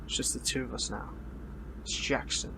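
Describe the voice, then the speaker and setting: A man playing a bitter, defiant captured superhero in an audio roleplay, speaking in a strained, halting, taunting way. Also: noisy background, close to an everyday voice. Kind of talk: dramatic audio roleplay monologue.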